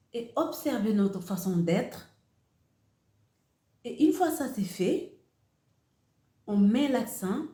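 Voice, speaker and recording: Slow-speaking woman, echoey room. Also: medium pitch, dark tone. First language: Wolof. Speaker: host of a motivational channel. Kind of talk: motivational talk to camera.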